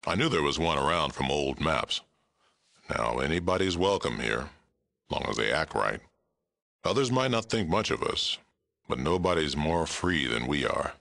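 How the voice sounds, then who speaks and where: Game role: old super mutant lawman, extremely low and forceful, terse, weary, fair. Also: sing-song, normal speed, exaggerated voice. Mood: reflective, world-weary. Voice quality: gravelly, rumbling. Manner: blunt, terse, dry delivery. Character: gruff, aging super mutant sheriff, world-weary but fair-minded. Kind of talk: video game character dialogue.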